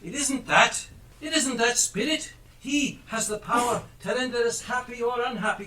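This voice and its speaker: A man on stage playing a remorseful old miser shown his past, speaking with halting emotion. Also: high voice, sharp tone. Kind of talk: stage play acting. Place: theatre stage. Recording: roomy live stage, distant mic, faint noise.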